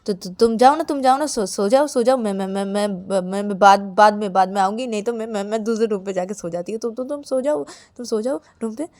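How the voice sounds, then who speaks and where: A woman's voice: high voice, steady tone, moderate pace, a little mellow, no room sound, voice pushed up, natural voice. A woman voicing a frightened, timid young newlywed woman in an audio story, stammering nervously and repeating pleas. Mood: panicky pleading. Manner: heavy nervous stammer, pleading repetition. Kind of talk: acted dialogue in narrated audio story.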